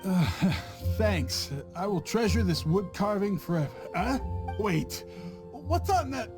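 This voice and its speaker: A man's voice: sits high, animated, slow pace, over music. A man voicing a cowardly, scheming visiting merchant in a game, stammering and panicky. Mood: nervous.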